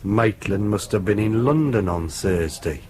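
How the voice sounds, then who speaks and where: An actor playing a matter-of-fact junior police detective in a radio drama. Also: male voice, lowish voice, expressive, a little slow, noisy background. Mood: dry.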